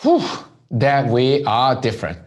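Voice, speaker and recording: A man's voice: medium pitch, unhurried, dull audio. A man who grew up in Hong Kong, a guest in an interview, telling his story casually and often checking in with "right?". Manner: relaxed storytelling with frequent "right?" tags. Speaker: entrepreneur guest. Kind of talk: conversational interview.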